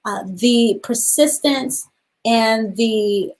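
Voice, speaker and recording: A woman hosting a children's storytime, reading aloud deliberately in short phrases and putting questions to her young listeners. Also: a little high.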